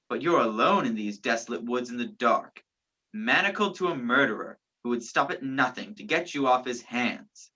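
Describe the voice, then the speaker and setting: Woman playing a sardonic man on the run, low-voiced. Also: bright, forceful tone, exaggerated voice. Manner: dry sarcasm, clipped orders. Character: sardonic, commanding man on the run, falsely accused. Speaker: student stage actor. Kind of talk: radio play acting. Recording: dull, lo-fi virtual recording.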